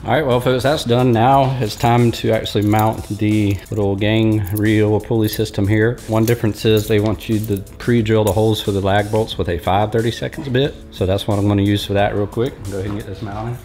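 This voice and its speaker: A man in his forties giving a relaxed, folksy step-by-step how-to walkthrough in a large metal workshop, talking close to a handheld camera. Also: fairly low pitch, brisk, few pauses, faint music behind. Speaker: fishing channel host. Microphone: handheld or head-mounted camera close up.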